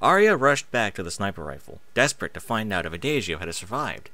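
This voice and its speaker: A man's voice: on the low side, very animated, brisk, sharp tone. A man narrating an action story as a scripted, dramatic reading with emphatic phrasing. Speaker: narrator reading fan fiction aloud.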